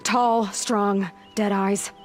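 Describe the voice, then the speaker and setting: Determined young huntress in a video game, guarded, short pointed questions, some music behind. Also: female voice, medium pitch, steady tone, extremely slow. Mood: stern, probing. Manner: terse, probing questions. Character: young woman hunter, determined, guarded, interrogating. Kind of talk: dramatic video game acting.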